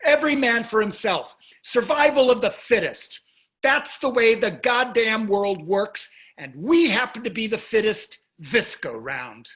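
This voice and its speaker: A man's voice: sits high, expressive, measured pace, fairly bright tone. Man playing a sarcastic, callous rich husband. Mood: hard, defiant. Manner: sarcastic, dismissive. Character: wealthy, cynical, callous, golf-loving husband. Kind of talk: staged play reading, marital argument.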